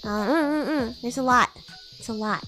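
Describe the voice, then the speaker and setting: A woman puts on a young female demon classmate with a high, girlish voice. She is bratty, playful and teasing, rambling in a loose livestream roleplay style. Faint music plays behind her. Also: moderate pace, a bit bright, lightly acted. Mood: chatty explaining. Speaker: VTuber streamer. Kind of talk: improvised in-character roleplay livestream chat.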